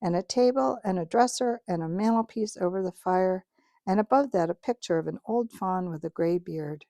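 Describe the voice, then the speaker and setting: A woman's voice: mid-range voice, normal speed, warm tone, dry recording, clean studio sound, lightly acted. A woman reading aloud and voicing a polite, nervous male faun who talks eagerly and repeats himself.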